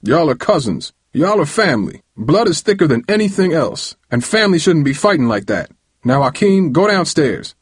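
A man voicing a furious, domineering middle-aged uncle in an audiobook story, loud and bellowing as he scolds in colloquial speech. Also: a little high, big pitch swings, moderate pace, slightly rough, no echo, clean studio sound, pitched above the performer's own voice. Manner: stern, colloquial, confrontational scolding.

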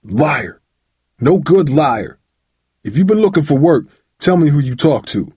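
A man voicing an angry, domineering father who shouts and interrogates in colloquial, threatening speech for an audiobook. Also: on the high side, very animated, measured pace, slightly rough, no room sound, clean studio sound, pitched above the performer's own voice, full character voice. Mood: furious, demanding. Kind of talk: audiobook character voice.

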